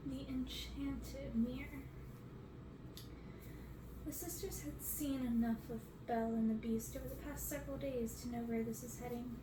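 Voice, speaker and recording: Young woman reading a fairy tale asmr style, highish voice, noisy room recording. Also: fairly even intonation, normal speed. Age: young adult. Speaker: ASMR reader. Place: bedroom, indoors. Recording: roomy, distant, clearly noisy bedroom recording.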